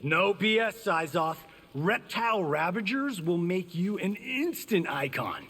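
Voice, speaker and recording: A man playing a cocky, wisecracking film-star fighter in a video game, husky and full of slang. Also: high voice, big pitch swings, measured pace, a bit bright, in a large hall, full character voice. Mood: cocky, teasing. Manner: slangy, joking. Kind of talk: video game character dialogue.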